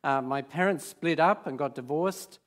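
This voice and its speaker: A man in his fifties, born in Sweden with Swedish as his first language, preaching on a large church stage in a relaxed, hesitant way full of fillers. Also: a little high, moderate pace. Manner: hesitant, many fillers and restarts. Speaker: guest preacher. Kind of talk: informal sermon.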